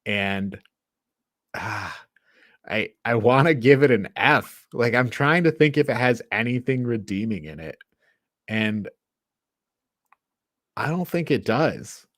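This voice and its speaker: A man hosting a casual film review discussion, thinking aloud in an informal, halting way. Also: mid-range voice, animated, a bit bright, no room sound, clean studio sound. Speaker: podcast host.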